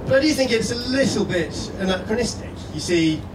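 A man from Scotland delivers a prepared persuasive piece live on stage. His delivery is earnest and rhetorical, with very lively intonation. The room rings with echo and there is clear background noise. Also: high voice, a little fast. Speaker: guest speaker. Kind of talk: reading a prepared persuasive letter aloud on stage. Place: live stage event indoors. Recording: echoey room, distant mic, steady background noise.

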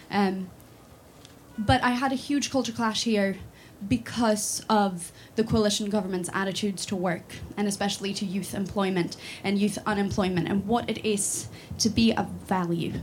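A woman's voice: mid-range voice, a little hiss or hum. A woman in her twenties from Sweden, talking with many fillers and restarts, then reciting a spoken word poem built on repeated refrains. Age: 20s.

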